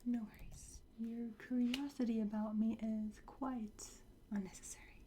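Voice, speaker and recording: Slow, whispering woman in ASMR roleplay. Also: on the high side, fairly even intonation. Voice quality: breathy whisper. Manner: slow, gentle, reassuring, with soft pauses and hums. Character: calm, courteous woman training time-travel recruits. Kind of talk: soft whispered ASMR roleplay, addressing the listener. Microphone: close ASMR microphone. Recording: close whisper with clear background noise.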